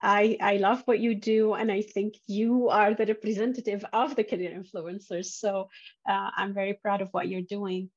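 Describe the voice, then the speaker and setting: A woman's voice: medium pitch, little pitch movement, normal speed. A middle-aged woman interviewing a guest conversationally as host, recorded over a video call. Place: indoor room, video call. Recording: muffled band-limited video call audio.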